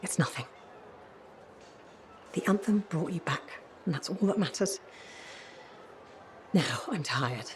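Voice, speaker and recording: An older woman voicing a wistful, fretful, loving mother in a video game, frank and gently chiding. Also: fairly low pitch, expressive, takes it slowly, long pauses, a little hiss or hum. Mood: troubled.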